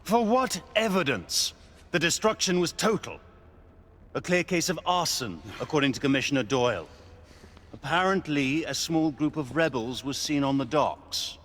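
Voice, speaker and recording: An actor playing an aristocratic, sceptical knight commander in a video game, speaking in a formal, measured, haughty way. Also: male voice, fairly high pitch, animated, fairly bright tone. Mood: sceptical, sardonic. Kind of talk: cinematic video game voice acting.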